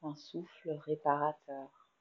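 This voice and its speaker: French lady leading yoga nidra, super slow and soft, kinda dull lo-fi audio. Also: low-pitched, flat delivery. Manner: slow, soft, soothing guidance with long pauses. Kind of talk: guided meditation.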